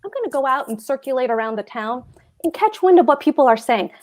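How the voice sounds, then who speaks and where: A woman's voice: high-pitched, lively intonation, quick pace, darkish tone. A woman reading the part of a pompous, anxious husband, a fussy government clerk, at a table read of a stage comedy. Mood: brooding, pompous. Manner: pompous, hectoring, fretful.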